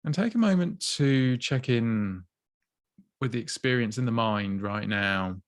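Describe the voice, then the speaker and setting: A man gently guiding a body scan meditation, slow and soothing with long pauses. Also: medium pitch, animated, no echo, clean studio sound. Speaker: mindfulness teacher.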